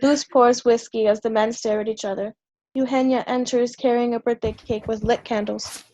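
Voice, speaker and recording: A woman's voice: on the high side, fairly even intonation, normal speed, mellow, low-quality recording. A woman giving scripted narration, reading out the stage directions of a play.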